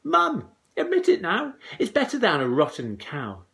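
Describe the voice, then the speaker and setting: An eager, cheeky young boy from a storybook, acted out by a man. The voice is pitched high and jumps about excitedly. He exclaims his lines in breathy, boyish bursts. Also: unhurried, heavily acted. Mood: insistent.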